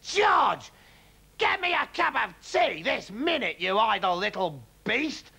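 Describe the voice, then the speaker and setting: A man's voice: high-pitched, big pitch swings, slow pace, creaky, breathy edge, pitched above the performer's own voice, heavily acted. A man voicing a bossy, bad-tempered ancient grandmother who shrieks her orders. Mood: shrill bossy. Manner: screeching, shouted insults.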